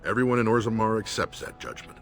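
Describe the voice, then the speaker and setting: A man's voice: lowish voice, expressive, quick pace, fairly bright tone, faint music behind. An actor playing an earnest dwarven lord's aide in a video game, speaking formally as he gives a briefing. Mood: earnest, businesslike. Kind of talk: video game character acting.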